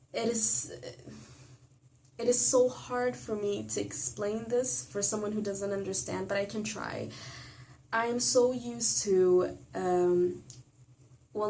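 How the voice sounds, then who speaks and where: A young woman from Sweden, speaking a personal video monologue in a thoughtful, measured way, sometimes searching for words. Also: mid-range voice, a little mellow, a little hiss or hum, rough recording. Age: young adult.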